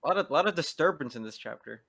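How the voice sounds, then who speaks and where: A man with a fairly high voice chats casually as a podcast guest. He talks quickly, in a dry recording with little echo. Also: animated, gravelly, a bit bright, husky. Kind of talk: casual group chat.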